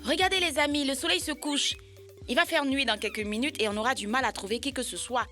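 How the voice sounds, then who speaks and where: A woman's voice: high-pitched, quick pace, pushed and bright, faint music behind. A woman voicing a confident, talkative child in a children's cartoon, explaining things in a matter-of-fact way. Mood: doubtful. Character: confident, talkative child playing hide-and-seek with friends. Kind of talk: children's educational cartoon acting.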